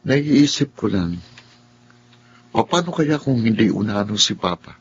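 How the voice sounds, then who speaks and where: A man's voice: on the low side, animated, measured pace, husky, heavily acted. A man acting a brooding, reserved student son in a Filipino radio drama. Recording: dull audio with faint background noise.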